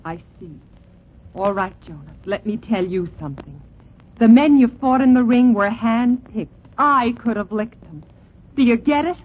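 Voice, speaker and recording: A woman's voice: a little high, expressive, measured pace, slightly rough. An actress playing a blunt, lovesick young woman from the gangland world in a slangy 1940s radio drama, on an old recording. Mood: challenging, reproachful. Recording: tinny, band-limited old radio recording.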